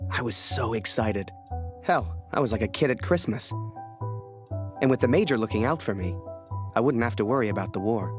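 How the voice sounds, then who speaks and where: A man's voice: on the low side, lively intonation, normal speed, long pauses, natural voice. An actor playing a wistful young soldier-photographer who narrates his memories in a video game. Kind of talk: first-person game narration. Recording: music behind the voice.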